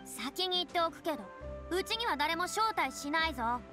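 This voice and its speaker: A woman's voice: extremely high pitch, lively intonation, normal speed. An actress voicing a proud, theatrical young woman, a retired star with boyish turns of phrase, in an anime-style game. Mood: indignant, flustered. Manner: haughty, dramatic, speaks with boyish self-reference. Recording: studio voice over game music.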